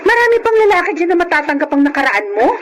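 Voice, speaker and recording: An actress playing a blunt, protective friend in a Filipino radio drama, frank and admonishing, with a Filipino accent. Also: female voice, extremely high pitch, sing-song, racing. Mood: firm, admonishing. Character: blunt, protective woman friend giving advice. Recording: faintly noisy radio drama audio.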